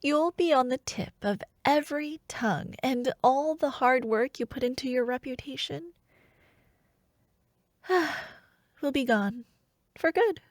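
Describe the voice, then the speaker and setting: A woman playing a scheming young royal princess in an intimate ASMR fantasy roleplay, speaking in a hushed, teasing, haughty way. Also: sits high, takes it slowly, pauses often, no echo, studio quality. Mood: sly, taunting.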